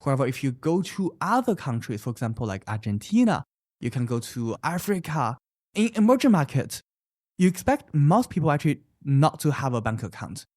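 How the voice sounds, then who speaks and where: Animated founder. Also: mid-range voice, a little fast, dry recording, studio quality. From China. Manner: animated, emphatic, often adds "right?"; explains with many examples. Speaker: startup founder. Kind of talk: interview answers.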